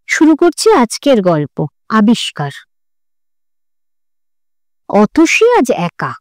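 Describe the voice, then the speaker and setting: High-pitched, expressive woman storyteller. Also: dry recording. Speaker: story reader. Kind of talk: scripted literary audiobook narration.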